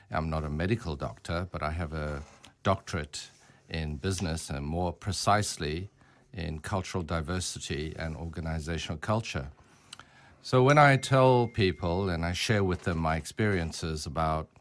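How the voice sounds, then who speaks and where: A man speaking as a guest on a radio interview, explaining things in a measured, conversational way. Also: sits low. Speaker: radio guest, business academic.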